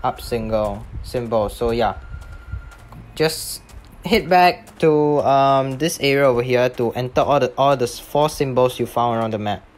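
A man's voice: medium pitch, measured pace, a little hiss or hum. A man giving a casual, step-by-step video game walkthrough in an informal, somewhat hesitant way. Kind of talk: casual game tutorial commentary.

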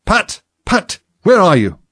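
A man's voice: medium pitch, very animated, fast talker, breathy edge, no echo, clean studio sound, voice pushed up. A man voicing an angry, imperious male rabbit who scolds like a fussy master in a storybook. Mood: angry, bossy. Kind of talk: storybook character voice.

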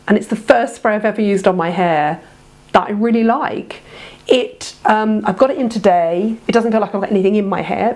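A woman's voice: medium pitch, darkish tone. A woman in her fifties, congested from a head cold, chatting to camera about beauty products at home, gushing with many ums. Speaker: beauty vlogger. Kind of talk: casual to-camera product review. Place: home room, indoors.

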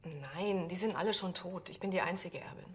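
A woman's voice: on the low side, fairly quick, lightly acted. A woman playing a suspicious widow. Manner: wary, questioning. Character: suspicious widow, sharp-tongued.

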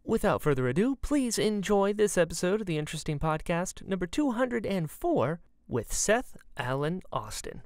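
A man speaks as an enthusiastic podcast host, with a lively, swinging pitch and casual phrasing. The sound is dry, with no room around it. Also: normal speed, few pauses, no room sound. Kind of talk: upbeat podcast intro and casual interview chat.

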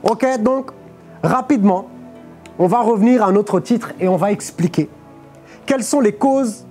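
A young male preacher with a mellow, relaxed tone, teaching his sermon patiently and asking his listeners "ok?" again and again. He speaks from a lectern on a studio stage with faint music playing behind him. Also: high voice. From Portugal. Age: young adult. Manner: explanatory, peppers phrases with "ok?" and "amen". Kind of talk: sermon on a live stream.

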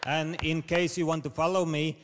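A man from Latvia with a Latvian accent, telling hesitant, stammering deadpan jokes on a theatre stage into a handheld mic. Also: a little high, moderate pace. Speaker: comedian contestant. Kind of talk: stand-up comedy audition. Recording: reverberant theatre hall, audience noise.

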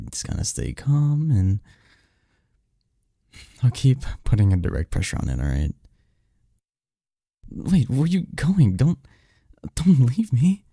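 A man playing a sardonic young research assistant in an audio roleplay, his voice very dark and gravelly. He talks haltingly and intimately, dropping at times into breathy tones, and the sound is close and dry. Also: on the low side, no echo, exaggerated voice. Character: snarky, cynical young research assistant, flustered when hurt. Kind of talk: intimate one-sided audio roleplay acting.

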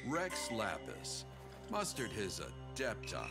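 An actor playing a solemn storyteller in a game trailer, recounting an ancient legend in a grave, measured, dramatic way. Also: male voice, on the low side, huge pitch swings, vocal fry, exaggerated voice. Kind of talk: dramatic scripted narration. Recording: loud music behind the voice.

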